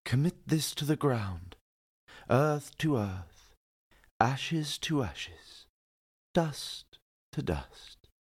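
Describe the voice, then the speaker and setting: A man playing a solemn priest in an audio play, reciting funeral rites in a grave, measured way. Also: medium pitch, sing-song, husky, full character voice.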